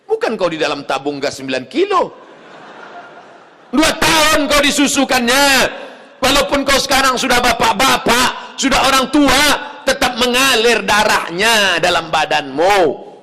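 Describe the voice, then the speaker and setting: A male preacher giving a mosque sermon, swinging from quiet asides to loud shouted appeals. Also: very high voice, lively intonation, measured pace, in a large hall, slight background noise.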